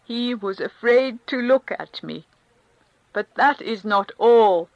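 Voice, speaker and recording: A woman's voice: fairly high pitch, animated, takes it slowly, dry recording, pitched above the performer's own voice, poor audio. A woman reading a crushed, humiliated middle-aged man in a thin, strained, pleading voice. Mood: anguished. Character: grieving humiliated middle-aged male official. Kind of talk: audiobook character reading.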